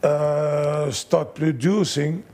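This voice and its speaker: A man, a fight trainer, telling animated stories in broken English as an interview guest. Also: on the high side, extremely slow. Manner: animated storyteller, broken English, acts out quotes. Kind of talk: casual storytelling interview.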